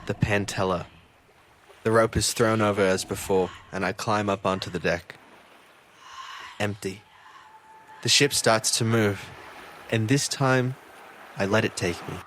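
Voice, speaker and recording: A man's voice: lowish voice, a little slow, pauses often, fairly bright tone, a little hiss or hum. A man playing an earnest, bewildered fisheries officer who narrates his own story in an audio drama. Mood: hushed wonder, recounting.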